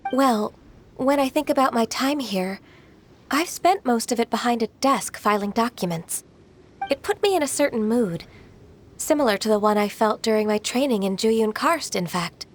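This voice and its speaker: A woman voicing a gentle, dutiful, reserved half-spirit maiden in a game, polite and soft-spoken. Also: a little high, expressive, moderate pace, a little hiss or hum, lightly acted.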